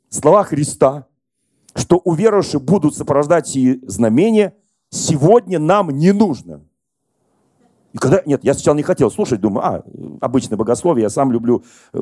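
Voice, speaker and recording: A middle-aged man preaching a sermon as pastor, telling stories and questioning the congregation in a lively conversational way. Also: mid-range voice, dry recording. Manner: conversational, animated storytelling to congregation.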